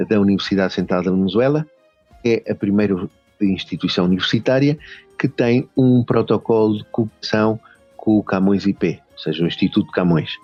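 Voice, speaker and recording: A man's voice: lowish voice, no echo, dull audio. A man with a European Portuguese accent hosting a radio interview, hesitant and full of fillers. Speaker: radio host.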